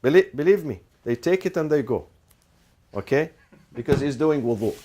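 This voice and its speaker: A man's voice: a little high, expressive, moderate pace, warm tone, a little hiss or hum. A man teaching a class, posing rhetorical questions, answering them himself and repeating key phrases, with Arabic legal terms mixed in. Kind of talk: classroom lecture.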